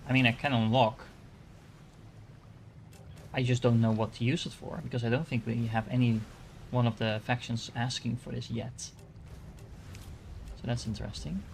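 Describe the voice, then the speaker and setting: A man's voice: mid-range voice, steady tone, a little slow, creaky, long pauses, slight background noise. Dutch-accented man rambling through a game. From Netherlands. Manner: thinking aloud, rambling with fillers and restarts. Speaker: gaming streamer. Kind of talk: casual gameplay commentary.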